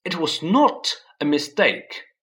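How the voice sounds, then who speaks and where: A man's voice: a little high, huge pitch swings, normal speed, a bit bright, voice pushed up. A man voicing a stubborn, indignant young boy who talks back insistently. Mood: defiant. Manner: insistent, defiant.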